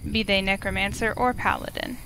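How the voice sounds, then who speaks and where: A woman's voice: mid-range voice, fairly even intonation, brisk, noisy background. A woman streamer narrating a visual novel, reading the story aloud. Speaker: streamer, reader.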